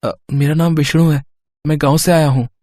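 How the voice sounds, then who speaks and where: Man acting a high-pitched, naive village youth. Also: steady tone, brisk, dark tone, no echo, studio quality, natural voice. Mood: timid. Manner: simple, wide-eyed, hesitant. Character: naive, earnest young village man, eager student.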